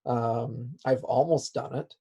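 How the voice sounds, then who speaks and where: A man with a raspy, hoarse voice, as he is losing it, teaching a software class with many "okay"s. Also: medium pitch, fairly even intonation, slow pace. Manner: repeats "okay" as a filler. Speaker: instructor. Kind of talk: software tutorial lecture.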